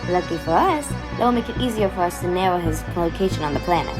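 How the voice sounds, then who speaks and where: A woman's voice: on the low side, expressive, fast, over music, lightly acted. A woman playing a no-nonsense, impatient time-travelling commander in an audio drama, curt and businesslike as she explains. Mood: businesslike briefing.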